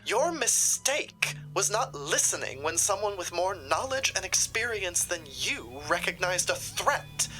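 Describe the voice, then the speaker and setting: A woman's voice: fairly low pitch, big pitch swings, unhurried, hardly any pauses, music in the background, low-quality recording. A woman playing a haughty, sardonic, ruthless occult shopkeeper in a theatrical, condescending drama style. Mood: scolding. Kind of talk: dramatic audio roleplay acting.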